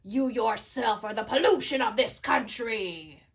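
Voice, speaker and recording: A woman playing an indignant, scornful old blind male prophet with drawn-out, emphatic delivery in an amateur puppet-show tragedy. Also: fairly high pitch. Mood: stern.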